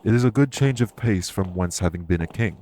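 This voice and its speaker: Audio roleplay lead: male actor as dignified ex-king and fae minister, low register, formal, reassuring delivery. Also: expressive, dark tone, a little hiss or hum. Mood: reserved, matter-of-fact. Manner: formal, measured, reassuring phrasing. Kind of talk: audio roleplay spoken to the listener.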